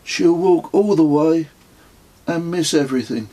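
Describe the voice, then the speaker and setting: A young boy in a storybook played by a male narrator, pitched well up. He is curious and cheeky, speaking in short, blunt questions and firm opinions. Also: unhurried, warm tone.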